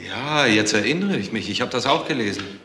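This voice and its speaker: An actor playing a brisk, questioning police detective in a crime radio play. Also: male voice, mid-range voice, lively intonation, pushed and bright, a little hiss or hum. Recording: clear music behind the voice.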